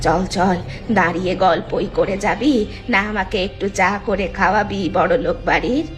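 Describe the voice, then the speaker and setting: A woman's voice: medium pitch, normal speed, soft background music, close to an everyday voice. A woman voicing a greedy, scheming older mother in a cartoon, gloating and bossy. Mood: gleeful, gloating. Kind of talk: dramatized Bengali moral-story cartoon dub.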